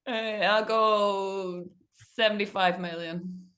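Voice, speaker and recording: A woman guest chatting casually on a podcast in an easygoing, soft-spoken way. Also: medium pitch, fairly even intonation, takes it slowly. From Ireland. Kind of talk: casual chat.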